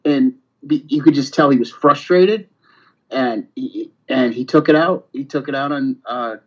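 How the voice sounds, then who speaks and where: A man's voice: mid-range voice, measured pace. A man chatting casually on a podcast in blunt, profane, slangy talk, often repeating himself. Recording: dull, lo-fi podcast audio.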